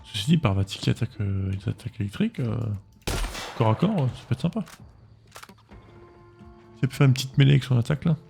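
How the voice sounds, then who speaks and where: A man's voice: mid-range voice, animated, fast, gravelly, pauses often, dark tone. A man with a French accent giving casual, chatty commentary while playing a game, often talking back to it in mock exasperation. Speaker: gaming streamer. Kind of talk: casual let's-play commentary. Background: game music.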